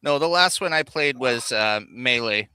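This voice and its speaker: A man co-hosting a casual gaming livestream, explaining his views in a measured way. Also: medium pitch, expressive, bright, forceful tone, a little hiss or hum. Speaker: co-host of a live gaming countdown show. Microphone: streaming call microphone.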